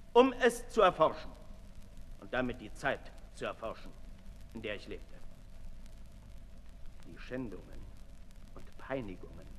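An actor playing a brooding, disillusioned aristocrat in a 1960s German radio play, declaiming deliberately, from hushed to loud. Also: male voice, mid-range voice, slight background noise. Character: Marquis de Sade, brooding disillusioned aristocrat, intense and self-lacerating. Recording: 1960s radio recording in reverberant hall.

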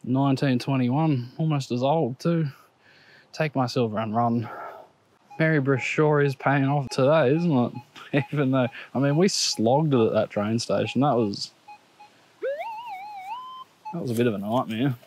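Excitable Australian man detecting outdoors. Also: medium pitch, unhurried, pauses often. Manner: excitable, laughs at his own finds, chatty asides to viewers. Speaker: metal detecting vlogger. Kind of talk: casual commentary while detecting. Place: outdoors on a grassy park lawn. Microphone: action camera on the body or set on the ground.

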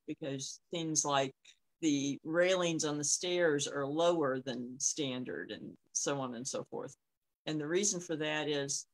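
A middle-aged woman telling stories casually on a video call, often pausing mid-thought. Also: low-pitched, low-quality recording. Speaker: co-host. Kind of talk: casual conversational storytelling. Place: small room at home, on a video call. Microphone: device mic on a video call.

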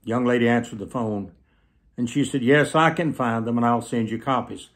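A man in his seventies preaching through personal stories, speaking earnestly to camera with emphatic, drawn-out phrases. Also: medium pitch. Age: 70s. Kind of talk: sermon storytelling told to camera. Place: small plain indoor room, seated.

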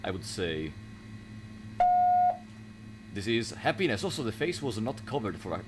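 A man's voice: fairly low pitch, big pitch swings, moderate pace, heavy background noise. A man in his twenties giving casual reaction commentary as a streamer at home. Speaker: streamer reacting to a horror video. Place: small room at home, indoors.

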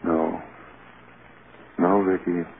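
An actor playing a loyal, protective film studio boss, speaking with earnest concern in 1930s radio style. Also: male voice, low-pitched, little pitch movement, drawn-out words, vocal fry, exaggerated voice. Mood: sympathetic. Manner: solicitous, earnest. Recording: scratchy, tinny 1930s radio broadcast.

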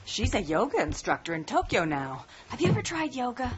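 An actress playing a kindly middle-aged mother in an animated film, chatty and casual. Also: a little high, fairly quick. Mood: chatty, welcoming. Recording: dull lo-fi sound, clear noise.

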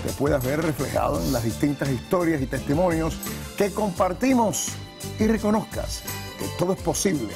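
A man in his sixties hosting a conversational television interview in a studio, with a husky, gravelly voice. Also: fairly high pitch, normal speed, music in the background. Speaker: talk show host, pastor. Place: TV studio set.

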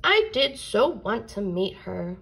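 A woman's voice: mid-range voice, big pitch swings, takes it slowly, creaky, fairly bright tone, heavily acted, low-quality recording. A woman voicing a gracious, commanding queen of the flowers, regal and polite, in a children's storybook. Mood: gracious, firm.

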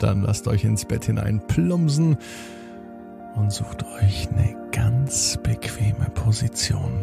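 A man hosting a children's podcast, narrating a bedtime story in a deliberate way and speaking directly to young listeners. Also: mid-range voice, gravelly. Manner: addresses children directly, slow deliberate phrasing with repetitions.

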